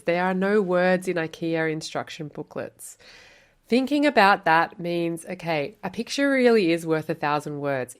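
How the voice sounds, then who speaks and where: An Australian woman hosting a podcast, explaining quilt pattern writing in a chatty, encouraging way with lots of rhetorical questions. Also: fairly low pitch. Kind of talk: solo podcast teaching.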